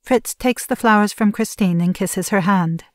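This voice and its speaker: A woman's voice: mid-range voice, moderate pace, dry recording, studio quality, close to an everyday voice. A woman reading stage directions as the neutral narrator of an audio play, in scripted narration.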